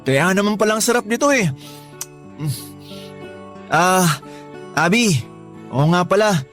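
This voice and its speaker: A young man voicing a smooth-talking, manipulative suitor in a radio love story. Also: high voice, expressive, slow, fairly bright tone, soft background music, heavily acted. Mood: charming. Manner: charming at first, then demanding. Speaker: suitor Dennis. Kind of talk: radio drama acting.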